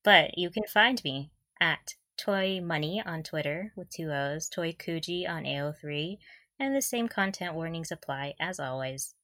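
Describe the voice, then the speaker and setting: A woman's voice: low voice, animated, unhurried, fairly bright tone, no room sound. A woman co-hosting a casual fan podcast, bantering in a mock-serious, giggly way. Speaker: podcast co-host.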